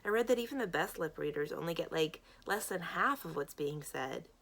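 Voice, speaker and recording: An insecure young girl from a storybook, voiced by a woman narrator. She is earnest and apologetic, blurting things out hesitantly in a creaky voice. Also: low voice, lively intonation, brisk, fairly bright tone, full character voice. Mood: earnest, awkward.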